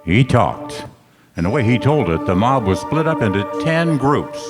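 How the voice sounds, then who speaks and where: A man's voice: medium pitch, sing-song, moderate pace, over loud music, natural voice. A man playing a tough, wry private detective who narrates in 1940s radio style. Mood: pressing, grim. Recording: echoey stage hall.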